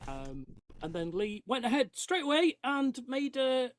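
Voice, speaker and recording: A man's voice: very high voice, very animated, measured pace. Dry, teasing male host. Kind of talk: casual chat.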